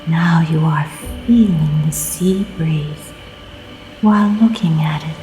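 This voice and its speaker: Very slow, breathy woman guiding meditation. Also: sits low, poor audio. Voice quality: soft, breathy, often near-whispered. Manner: calm, soothing, deliberate short phrases with long pauses. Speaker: guided meditation narrator. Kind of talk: scripted guided meditation. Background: music.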